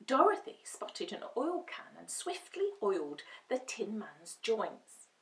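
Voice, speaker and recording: A woman reading a children's storybook aloud as an expressive storyteller. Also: a little high, slow pace. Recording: roomy, distant microphone.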